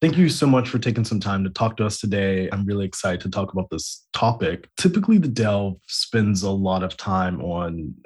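A man's voice: lowish voice, moderate pace, hardly any pauses, husky. A man hosting a podcast, narrating with wry asides and then interviewing a guest in casual chat. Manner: wry, laughs often, animated questioning. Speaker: podcast host.

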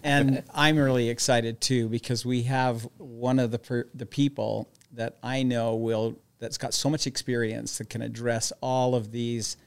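A man's voice: mid-range voice, level tone, a little slow, vocal fry, few pauses, clean tone. A man co-hosting a casual podcast, upbeat and joking, with frequent fillers. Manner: upbeat, tells dad jokes, uses fillers. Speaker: podcast co-host.

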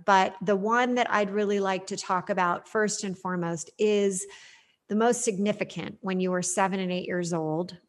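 A woman hosting an interview show, effusive and enthusiastic as she puts her questions to the guest. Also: medium pitch. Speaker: show host.

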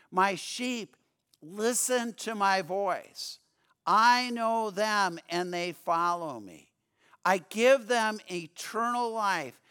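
A man preaching a sermon as pastor, earnest, often stumbling and repeating words, dropping lower and quieter to read scripture. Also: clear, resonant tone.

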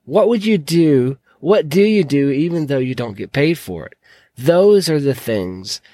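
A man hosting a solo podcast, his voice croaky from a sore throat, talking in an earnest, encouraging way. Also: on the high side, lively intonation, a little slow, mellow, dry recording, studio quality. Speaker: podcast host.

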